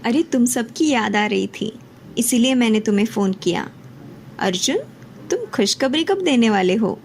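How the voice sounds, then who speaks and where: A woman voicing an affectionate middle-aged mother-in-law in an animated moral story, motherly and emphatic. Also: a little high, normal speed, slight background noise. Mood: friendly.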